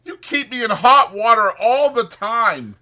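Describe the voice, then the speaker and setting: A man's voice: extremely high pitch, lively intonation, a little slow, bright, forceful tone, pitched above the performer's own voice, full character voice. A man voicing a short-tempered, exasperated migrant ranch worker, scolding in slangy rural American working talk. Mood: furious ranting.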